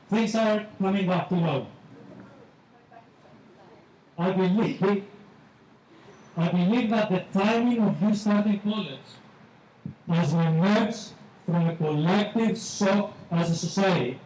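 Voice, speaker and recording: A man with a Greek accent giving a measured graduation speech as a teacher, repeating phrases for emphasis. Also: high voice, a little mellow. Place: large hall at a ceremony. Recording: echoey hall, distant mic, faint noise.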